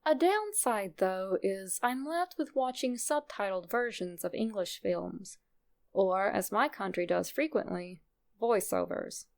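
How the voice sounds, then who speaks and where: A woman narrates a scripted horror story in the first person. Her voice sits at an ordinary female pitch and carries a marked creak, with plenty of vocal fry in the delivery. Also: animated, a little slow.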